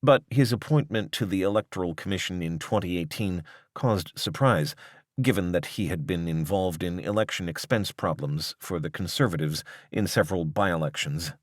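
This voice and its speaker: A man reading a long-form news article as scripted narration, in a measured, articulate and formal way. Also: low-pitched, dry recording, studio quality.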